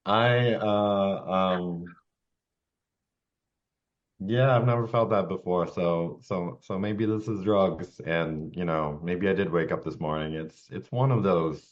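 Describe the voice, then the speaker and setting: A low male voice on a slightly dull track. He plays a tabletop game, improvising casually in character, halting and unsure as he trails off. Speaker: role-playing game player.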